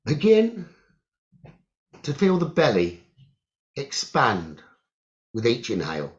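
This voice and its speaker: A man leading a guided meditation, giving gentle instructions in short phrases with long pauses, on a muffled recording. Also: medium pitch, wildly swooping pitch. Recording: muffled, dull lo-fi audio.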